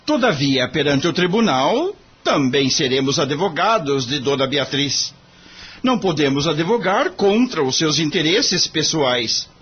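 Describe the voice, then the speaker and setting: Earnest, husky young Brazilian lawyer. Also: male voice, a little high, very animated, unhurried, fairly bright tone, dull audio, full character voice. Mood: earnest, objecting. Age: young adult. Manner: formal, earnest, questioning. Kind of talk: audio drama acting.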